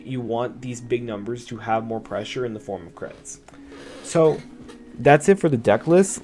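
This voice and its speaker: A young man with a slightly raspy voice, chatting casually through a card game deck test and explaining his plays. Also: medium pitch, a little mellow, slight background noise. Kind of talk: casual gameplay commentary. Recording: music playing underneath.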